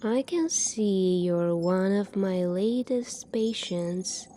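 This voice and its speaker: A woman in a soft-spoken ASMR roleplay, playing a calm, formal military doctor in a measured, clinical manner. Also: mid-range voice, slight background noise.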